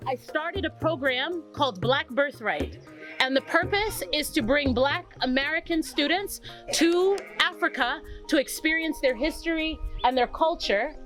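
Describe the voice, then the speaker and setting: A woman's voice: high voice, unhurried, fairly bright tone, soft background music. A woman who founded a youth travel program, speaking earnestly as she explains its purpose. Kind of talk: speaking to camera about her program.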